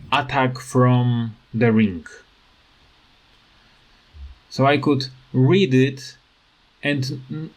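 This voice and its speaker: A man in a casual rant over game footage, speaking with halting, non-native phrasing as a gaming video creator. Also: medium pitch.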